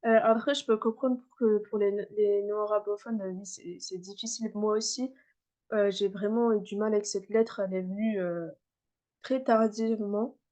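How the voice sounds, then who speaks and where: A woman's voice: medium pitch, fairly even intonation, normal speed, mellow. A woman teaching a beginner class over a video call, patiently repeating sounds for her students, with frequent fillers. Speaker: Arabic reading teacher.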